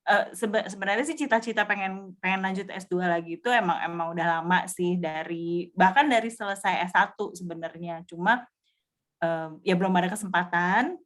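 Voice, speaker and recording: A woman's voice: lowish voice, normal speed, a bit bright. A woman sharing her experience in a casual group chat over a video call, hesitant, with frequent filler sounds and restarts.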